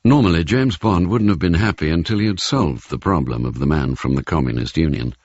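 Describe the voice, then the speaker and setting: A man playing the storyteller of a radio spy thriller, narrating in a grave, measured, reflective way. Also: deep voice, a little mellow, no echo, muffled recording. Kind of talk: scripted literary narration.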